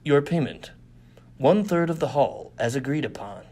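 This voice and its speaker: A man plays a very large and dangerous fighter in an adventure story. He speaks in cool, terse lines with quiet defiance. Nothing seems to make him flinch. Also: mid-range voice, animated, normal speed, natural voice. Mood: firm.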